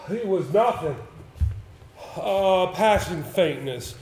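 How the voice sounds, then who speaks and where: A man acting in a stage play as a nervous, evasive, stiffly polite gentleman who speaks haltingly. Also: high-pitched, lively intonation. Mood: shaken, apologetic. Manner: halting, stammering, formal. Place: stage in a theatre. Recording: roomy, noisy stage play recording.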